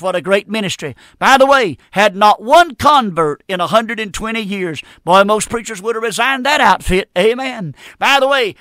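High, bright Southern preacher man. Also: no room sound, studio quality. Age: middle-aged or older. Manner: exhorting, repeats phrases, folksy colloquial grammar. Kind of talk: impassioned folksy radio sermon.

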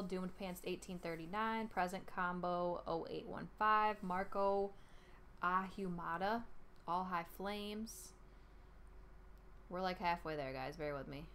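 A woman's voice: medium pitch, fairly bright tone, a little hiss or hum. A young woman with a New York accent, chatting casually on a live stream and reading out names in an informal way. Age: young adult. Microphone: headset.

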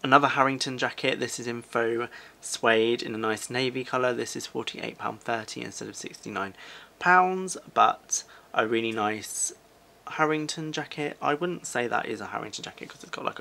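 A man's voice: medium pitch, moderate pace, creaky, pushed and bright. A British man in his twenties giving casual, chatty shopping commentary to camera, rambling off into asides. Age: 20s. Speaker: fashion vlogger. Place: small furnished living room, indoors.

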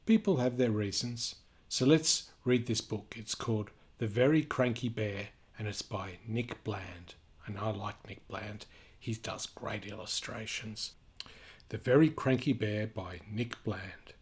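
A man reading a children's picture book aloud, gently and expressively, with chatty asides. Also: lowish voice, unhurried, husky.

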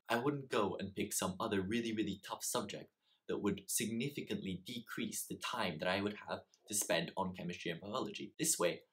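A man's voice: sits low, poor audio. A young man vlogging study advice straight to camera in a small home study, earnest and explanatory, stressing points by repeating words. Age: young adult. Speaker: student giving study advice. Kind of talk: direct-to-camera advice vlog.

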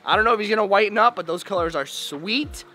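A man in his twenties, talking fast and hyped to a handheld camera outdoors by his fish ponds. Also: high-pitched, lively intonation, bright, forceful tone, a little hiss or hum. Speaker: fish-keeping vlogger. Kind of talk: excited vlog talk to camera. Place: outdoors by a pond and in a garage.

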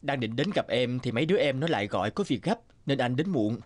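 A man's voice: medium pitch, expressive, fast, no room sound, lightly acted. A man playing an easygoing, burly tough boss. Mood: genial. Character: burly, tattooed, easygoing young boss with gangster air. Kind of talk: animated drama dialogue. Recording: studio voice over background music.

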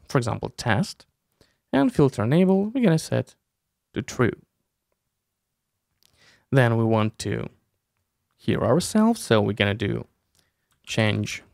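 Guy in his 30s doing a software tutorial, gravelly voice, really animated pitch. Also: no room sound, studio quality. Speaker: tutorial host. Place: small room indoors. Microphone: close desk mic with headset.

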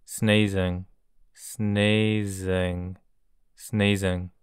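A man's voice: low-pitched, flat delivery, drawn-out words, smooth, dry recording, studio quality. A man teaching English words for illness, saying each word three times and then each sentence word by word. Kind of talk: slow drilled vocabulary reading.

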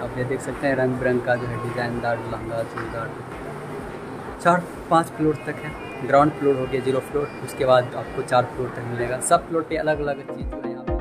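A man's voice: medium pitch, fairly even intonation, fairly quick, over loud music, low-quality recording. A young man, giving a chatty, promotional walk-through of a shopping mall and asking staff about prices. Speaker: vlogger touring a mall. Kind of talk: casual walk-through vlog. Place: busy indoor shopping mall.

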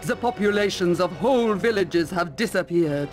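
A woman's voice: lowish voice, expressive, normal speed, soft background music, lightly acted. A woman playing a regal, composed empress with a formal, stately manner in a fantasy video game. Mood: cool, grave. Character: regal, composed female ruler of icy realm.

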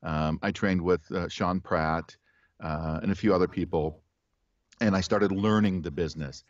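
A middle-aged man, an audiobook narrator, chatting as a guest in an interview with halting restarts and a relaxed chuckle. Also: deep voice, no echo. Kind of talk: casual interview chat. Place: home studio with poster behind. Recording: dry, slightly dull video call audio.

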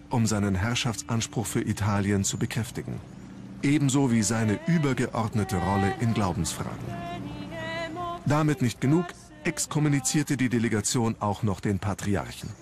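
A man reading scripted narration for a documentary. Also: mid-range voice. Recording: music under the narration. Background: background music.

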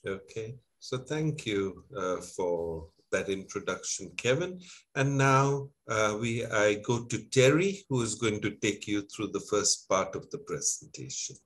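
Male moderator, low and unhurried, halting with fillers. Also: expressive, a bit bright. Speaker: webinar moderator. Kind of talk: moderating a video call.

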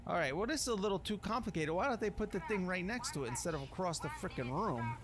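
A man's voice: high voice, lively intonation, quick pace. A man giving casual video game commentary in folksy, slangy speech, muttering along to himself as he plays. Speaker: gameplay streamer.

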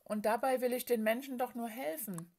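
A woman plays a frail storybook spirit of sorrow, female and bodiless. She speaks in a hushed, plaintive way, timid and tired, as one who feels misunderstood and shunned. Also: on the high side, fairly even intonation, moderate pace. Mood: sorrowful, earnest. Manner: hushed, hesitant, sighing, plaintive.

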